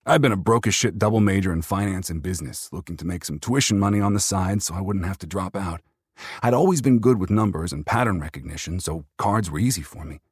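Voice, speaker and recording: A man's voice: deep voice, fast talker, a little mellow, breathy edge, dry recording, studio quality. A man reading first-person fiction as an audiobook narrator, performing the text in character.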